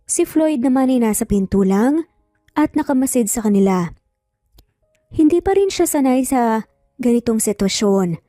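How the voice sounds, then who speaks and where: A woman's voice: on the high side, dark tone, no echo. A woman reading scripted dramatic narration as a storyteller, close to the microphone.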